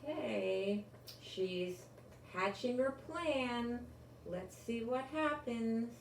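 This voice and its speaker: An older woman, a grandmother, reads a children's story aloud with deliberate, expressive delivery and adds her own asides. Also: fairly high pitch, vocal fry. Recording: roomy, distant microphone, faint background noise.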